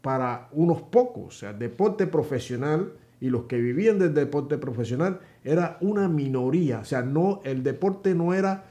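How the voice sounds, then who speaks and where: A man's voice: fairly high pitch, expressive, normal speed, few pauses, warm tone. A man co-hosting a political commentary show, explaining in a hesitant way with many fillers. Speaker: co-host.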